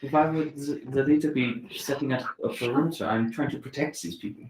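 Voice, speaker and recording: A man voicing a wary male werewolf guard with a German accent, speaking in halting phrases in an echoey room. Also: medium pitch, darkish tone, lightly acted. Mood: stern.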